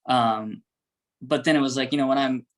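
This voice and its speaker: A man's voice: mid-range voice, fairly even intonation, normal speed. A man with an American accent chatting casually as an interview guest, rambling through stories with lots of filler "like". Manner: rambling, peppered with "like". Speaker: band member, interview guest. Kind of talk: casual chat.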